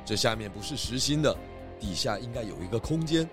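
A man's voice: medium pitch, a little fast, over music, natural voice. A man voicing a clever, confident pig detective in a children's audio drama. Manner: calm, explaining, hesitant fillers when cold.

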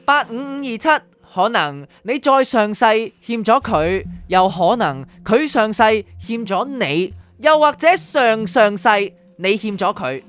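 A woman's voice: fairly high pitch, very animated, unhurried, few pauses. A woman playing a flippant, philosophizing doctor of the afterlife, speaking Hong Kong Cantonese in a radio drama. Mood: teasing. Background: faint music.

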